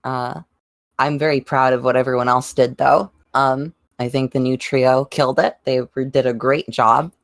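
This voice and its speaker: Podcast panelist, middle pitch, dry close sound, casual conversation. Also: level tone, moderate pace, no room sound. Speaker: podcast panelist and fandub voice actor. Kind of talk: casual chat.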